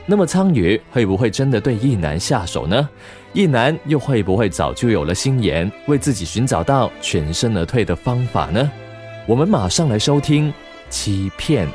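A man's voice: mid-range voice, sing-song, moderate pace, darkish tone. A man presenting a scripted recommendation as the host of an audio drama show. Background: background music.

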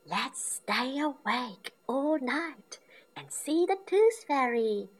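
A woman doing a high, eager little girl piglet. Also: expressive, slow pace, husky, exaggerated voice. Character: small excitable girl piglet.

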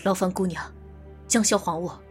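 A woman's voice: on the low side, steady tone, normal speed, natural voice. A woman playing a reserved, courteous young male court official in a Chinese period radio drama, formal and easily flustered. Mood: restrained. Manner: formal, polite, restrained. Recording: loud music behind the voice.

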